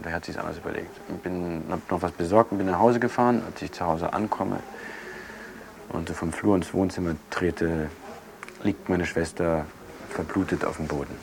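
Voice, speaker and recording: A deep-voiced man as a bereaved brother recounts things haltingly and plainly. His voice is gravelly, the drama sounds unscripted, and there is clear background noise. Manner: halting, matter-of-fact recounting. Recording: noisy room ambience.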